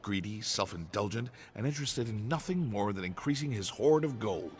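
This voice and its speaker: A man voicing a deep-voiced nervous merchant. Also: expressive, moderate pace, close to an everyday voice. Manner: earnest, nervous, formal. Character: anxious male merchant clerk, earnest, proper. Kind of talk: game dialogue, AI voiced. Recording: faint game music behind.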